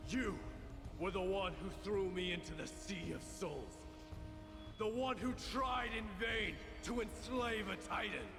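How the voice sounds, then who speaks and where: An actor playing a proud, defiant young warrior prince out for vengeance, in a theatrical, emphatic video game style. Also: male voice, fairly high pitch. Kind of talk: dramatic video game acting. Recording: long reverb under game music.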